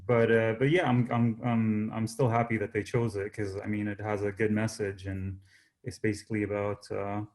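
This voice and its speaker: A man, a singer-songwriter guest, chatting casually in an interview in a hesitant, halting way that often repeats words. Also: fairly low pitch, flat delivery, a little mellow. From Jordanian-born, Canada.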